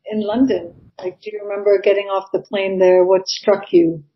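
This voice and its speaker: A woman's voice: medium pitch, steady tone, normal speed, a little hiss or hum. A woman interviewer putting questions to her guest in conversation. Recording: dull, lo-fi audio.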